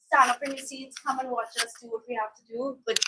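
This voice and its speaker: A woman's voice: on the high side, steady tone, fast talker, heavy background noise. A woman heading a retail division, welcoming staff to a training session in an upbeat, inviting way on a handheld mic. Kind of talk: welcome address.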